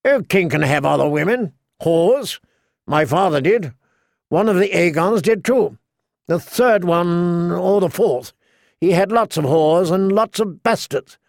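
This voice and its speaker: A man voicing a cruel, spoiled teenage boy king who taunts and sneers in a shrill voice. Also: expressive, unhurried, creaky, no room sound, studio quality, full character voice. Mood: taunting.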